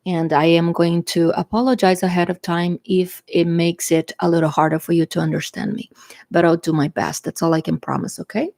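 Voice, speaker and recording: A woman's voice: fairly low pitch, flat delivery, fairly quick, creaky, a little mellow. A woman from Brazil with a Brazilian Portuguese accent, Portuguese her first language, chatting her way through a tarot reading and often tagging "okay?". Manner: chatty, frequent "okay?" tags and fillers. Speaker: tarot reader.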